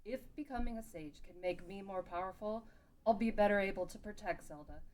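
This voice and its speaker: A woman's voice: mid-range voice, brisk, darkish tone, natural voice. A woman playing a stern, devoted warrior bodyguard in a fantasy table read, recorded with some noise. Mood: determined. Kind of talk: dramatic table read of a fantasy game story.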